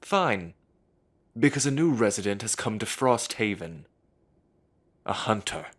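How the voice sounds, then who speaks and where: A man plays a commanding werewolf alpha who sits on the elder council. His voice sits a little low, and he talks steadily and with authority. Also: animated, unhurried, a bit bright. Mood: authoritative. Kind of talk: amateur audio drama acting.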